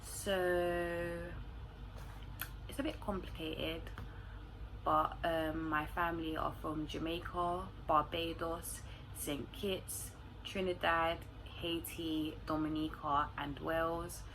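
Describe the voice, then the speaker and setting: Low-pitched young-sounding London woman, noisy recording. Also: flat delivery, slow pace. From London, England. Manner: informal, slangy. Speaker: vlogger answering viewer questions. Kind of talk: casual chat to camera. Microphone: phone recording. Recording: clearly noisy room recording.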